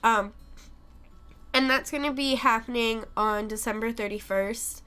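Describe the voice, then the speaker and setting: A woman's voice: fairly high pitch, fairly even intonation, measured pace, pushed and bright, clear, resonant tone. Chatty young woman streamer, a bit sick. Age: young adult. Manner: chatty, rambling, many ums. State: sick, losing her voice. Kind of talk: casual live-stream chat.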